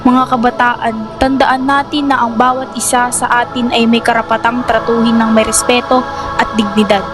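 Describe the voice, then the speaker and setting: A woman's voice: on the high side, little pitch movement, normal speed, over music. A woman giving scripted narration for a radio drama.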